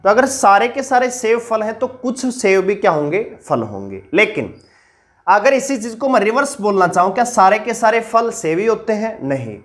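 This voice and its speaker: A man teaching reasoning for an exam-prep class, with a sore throat. He puts questions to viewers and answers them himself in a lower, slower voice, explaining step by step. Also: big pitch swings, fairly bright tone. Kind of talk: online exam-prep lesson.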